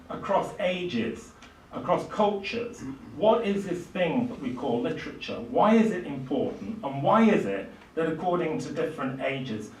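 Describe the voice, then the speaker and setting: Older male public lecturer, slightly high pitch, stop-start emphatic delivery, distant mic. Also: slight background noise. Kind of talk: public lecture on literature, speaking off the cuff. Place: small indoor lecture room at a lectern. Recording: echoey room, distant microphone.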